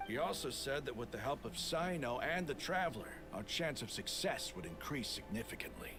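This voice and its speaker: An actor playing a proud, resolute desert mercenary leader in a video game, speaking dramatically and persuasively over music. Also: male voice, medium pitch, very animated, a little fast. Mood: assured. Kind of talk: video game character acting.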